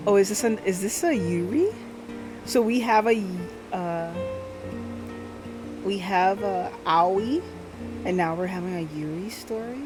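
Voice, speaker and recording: A woman hosts a casual game livestream with music playing clearly behind her. Her voice sits at an average pitch for a woman. She chats and thinks out loud as she goes, slipping between commentary and reading text aloud. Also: unhurried, long pauses. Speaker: live game streamer.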